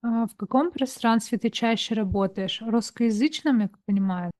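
A woman's voice: a little high, normal speed, a little mellow, no room sound, studio quality. A woman hosting a casual interview, curious and asking her guest friendly questions. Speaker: interview host.

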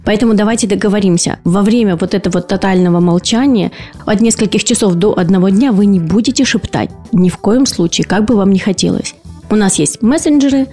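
A woman's voice: medium pitch, dark tone. A woman speech and voice therapist hosting an educational podcast, explaining patiently and posing rhetorical questions to her listeners.

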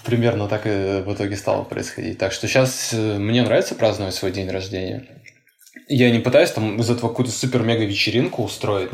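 A man in his thirties co-hosting a podcast, chatting in a laid-back way, laughing often and cutting in with quick agreements. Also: on the low side. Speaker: podcast co-host. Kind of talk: casual chat. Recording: echoey room.

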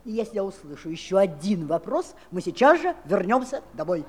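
An actress playing a strict, self-assured nanny in a 1960s audio play, curt and commanding. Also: female voice, mid-range voice, sing-song, fairly quick, a little hiss or hum, lightly acted. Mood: stern. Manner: curt, commanding, matter-of-fact.

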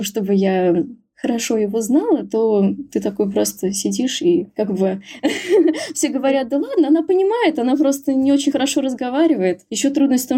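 A young woman with a soft, breathy voice and a mellow, warm tone. She talks candidly and chattily as a podcast guest, telling her story in an easygoing, amused way. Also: mid-range voice. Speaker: podcast guest, Tatar language learner. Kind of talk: casual interview talk.

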